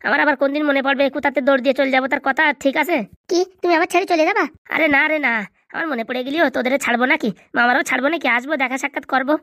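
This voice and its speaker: A man voicing an excitable, cheeky young male cartoon buddy, with slangy bantering delivery and a pitched-up effect. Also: very fast, no echo, studio quality, heavily acted. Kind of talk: comedy cartoon dubbing in colloquial rural dialect.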